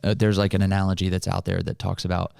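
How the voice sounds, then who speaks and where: A man's voice: sits low, completely flat delivery, quick pace, vocal fry, a little mellow, no echo, studio quality. A man co-hosting a podcast, explaining things in a casual, motivational conversational style. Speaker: podcast host.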